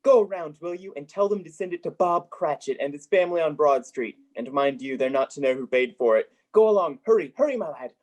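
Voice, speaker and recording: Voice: medium pitch, animated, a little fast, hardly any pauses, a little mellow, natural voice. A young performer playing a giddy, generous reformed old miser in a 1930s-style radio play, hearty and exclamatory. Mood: delighted. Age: young adult. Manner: exclamatory, hearty, courtly old-fashioned phrasing.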